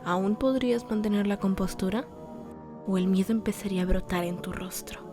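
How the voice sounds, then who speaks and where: Woman voicing a low, bitter teenage boy diarist. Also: steady tone, natural voice. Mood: cold, menacing. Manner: formal, measured, bitter. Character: resentful teenage violinist boy writing in his diary. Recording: music under the voice.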